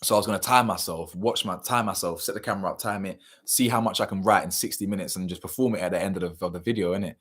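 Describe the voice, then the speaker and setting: Young man, low-ish voice with some hoarseness; loose, slangy live-stream chatter with sudden loud bursts and trailing mumbles. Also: fast, few pauses. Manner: slangy, animated, laughs often, drifts between loud bursts and mumbles. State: slightly hoarse. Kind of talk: casual live chat reading viewer comments.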